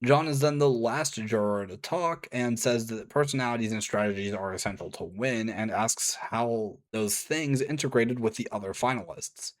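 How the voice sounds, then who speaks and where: A man reading a scripted review, with casual offhand asides and a voice gone strained and a little hoarse. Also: medium pitch, normal speed, hardly any pauses. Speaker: video reviewer.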